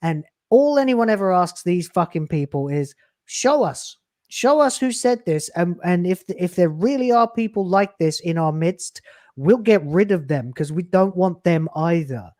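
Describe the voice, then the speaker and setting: A man's voice: high voice, animated, a little slow, warm tone, no room sound, studio quality. A man in his late thirties, a YouTuber talking in a casual podcast chat, blunt and profane, with emphatic swings in delivery. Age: late 30s. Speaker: YouTuber guest.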